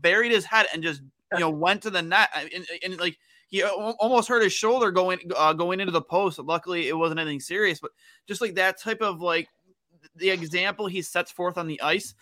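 A man from Western New York co-hosting a casual hockey podcast, talking in a halting way and repeating words as he builds his point. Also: high-pitched, pushed and bright, no echo. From Western New York, United States. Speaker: sports podcast co-host.

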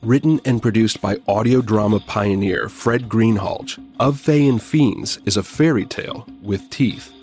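Male trailer announcer over soft music. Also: lowish voice, measured pace, few pauses. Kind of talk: scripted trailer narration.

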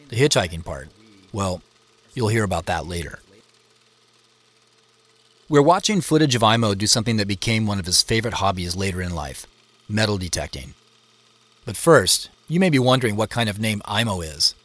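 A man's voice: fairly low pitch, a bit bright. An actor playing a reflective, curious American man in his forties, narrating a family mystery in scripted first person. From Seattle, United States. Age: 40s.

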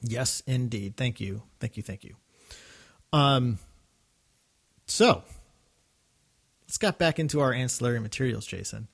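A man's voice: mid-range voice, animated, measured pace, vocal fry, pauses often. Chatty male podcast co-host. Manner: enthusiastic, chatty, laughs easily. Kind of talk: casual podcast chat.

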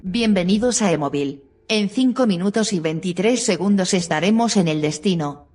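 A woman's voice: medium pitch, lively intonation, moderate pace, a little mellow, breathy edge. A woman playing the polite automated assistant of a shared car, giving formal, informational announcements in an audio drama. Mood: polite, neutral. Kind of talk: scripted public announcement.

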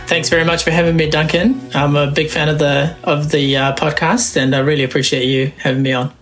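A filmmaker in his thirties, from Somalia, answering interview questions in a relaxed way with many fillers. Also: a little high, fairly quick, faint music behind. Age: 30s. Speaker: filmmaker guest.

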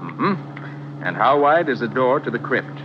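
A man in a 1940s radio play acting a confident detective who lays out the case in brisk, self-assured explanations. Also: mid-range voice, sing-song, background noise. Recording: dull old radio broadcast.

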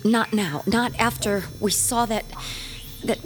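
A woman's voice: medium pitch, slow pace, close to an everyday voice. Woman acting nervy cheating wife in a horror radio play, wary, music behind her. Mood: nervous. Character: anxious unfaithful wife in a ghost-hunting team, secretive. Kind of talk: audio horror drama acting.

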